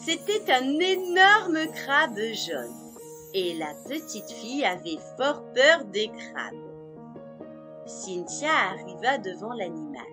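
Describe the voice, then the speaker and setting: Female children's narrator, expressive scripted read, lively swinging intonation, unhurried, music bed behind. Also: on the high side. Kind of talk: scripted storytelling for young children.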